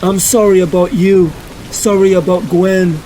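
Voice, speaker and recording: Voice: medium pitch, fairly even intonation, a little slow, dark tone, a little hiss or hum, pitched below the performer's own voice, close to an everyday voice, low-quality recording. A performer voicing a young male superhero who is earnest, apologetic and set on a hard choice, in a fan dub of a motion comic. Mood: remorseful. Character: young male superhero, guilt-ridden and determined.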